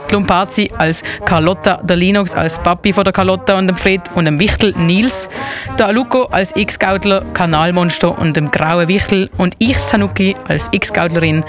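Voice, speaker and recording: A woman's voice: fairly low pitch, moderate pace. Swiss German woman hosting over music. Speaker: radio host. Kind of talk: live radio presenting. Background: music bed.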